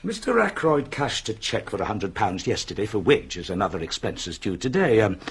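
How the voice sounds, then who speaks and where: A dutiful household secretary in a radio mystery. His voice is creaky and very husky, swooping widely in pitch, with precise, deferential phrasing. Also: sing-song, moderate pace, exaggerated voice. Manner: precise, deferential, slightly hesitant. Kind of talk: radio drama acting.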